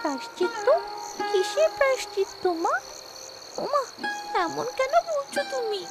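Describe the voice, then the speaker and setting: A woman's voice: very high-pitched, big pitch swings, moderate pace, loud music behind the voice, heavily acted. A woman voicing a brave, earnest young princess in a melodramatic fairy-tale cartoon. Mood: worried. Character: brave young princess, tender daughter, resolute about punishing wrongdoers.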